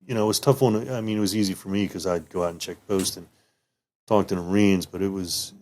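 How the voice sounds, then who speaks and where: Man, 50s, native German speaker, retired officer telling old service stories. Low-pitched, rambling, lots of restarts. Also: steady tone, fast talker, a little mellow, a little hiss or hum. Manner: rambling, many restarts and "you know"s. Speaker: retired military officer guest. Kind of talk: casual interview reminiscing.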